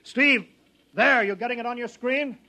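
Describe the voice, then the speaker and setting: A man's voice: extremely high pitch, moderate pace, bright, forceful tone, heavily acted. A man acting in a 1950s radio drama as a harried rocket company boss, urgent and placating.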